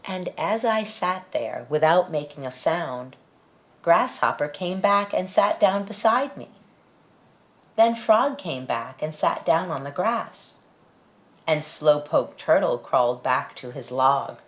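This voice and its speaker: Low-voiced woman reading a children's storybook. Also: lively intonation, slow pace. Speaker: storybook reader. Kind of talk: children's picture book read-aloud.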